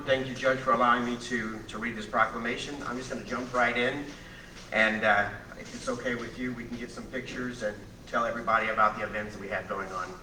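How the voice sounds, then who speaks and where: Presenter, male, loud and projecting, bright tense tone, read from a distance in a roomy courtroom. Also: mid-range voice, slight background noise. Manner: loud projecting voice, speaking without a microphone. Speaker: community presenter reading a proclamation. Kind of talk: formal proclamation reading. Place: county courtroom. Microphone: room microphone from across the room. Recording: echoey courtroom picked up from afar.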